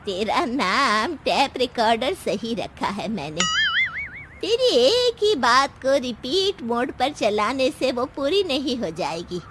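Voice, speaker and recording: A woman's voice: sits high, sing-song, slow, music in the background, exaggerated voice. A woman playing a bossy, fearless elderly mother-in-law in a comic cartoon story, scolding and sarcastic. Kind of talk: comic Hindi animated story acting.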